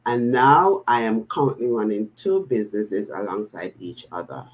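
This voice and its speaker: A woman's voice: a bass voice, big pitch swings, normal speed, slight background noise, poor audio. A woman joining a livestream video call who introduces herself in a formal way. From US Virgin Islands. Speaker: guest business partner.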